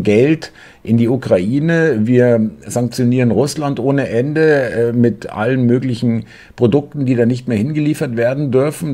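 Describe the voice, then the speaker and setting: A man's voice: medium pitch, dark tone. A man in his forties giving sarcastic political commentary while reading news articles aloud from his desk, full of hesitations.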